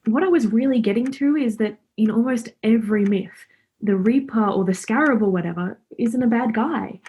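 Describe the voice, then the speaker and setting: Casting: friendly, cheeky young woman played by an actress, highish husky voice, informal rambling delivery, radio drama. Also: normal speed, dark tone. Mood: earnest, forthright. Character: Laura, chatty, cheeky, friendly young woman befriending Death. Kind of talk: radio play acting.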